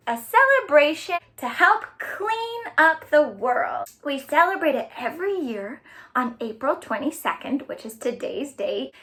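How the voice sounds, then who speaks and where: A woman's voice: high-pitched, fairly bright tone. A woman hosting a children's storytime, reading aloud in an exaggerated, sing-song way for young listeners. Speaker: children's librarian, storytime host. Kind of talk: children's storytime, read-aloud and singing along.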